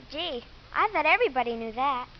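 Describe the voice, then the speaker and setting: A woman's voice: high voice, animated, normal speed. A woman playing a precocious, streetwise eleven-year-old girl with a matter-of-fact, wisecracking delivery in a radio drama. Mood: breezy, cheeky. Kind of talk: 1950s radio acting. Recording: hissy, dull 1950s radio recording.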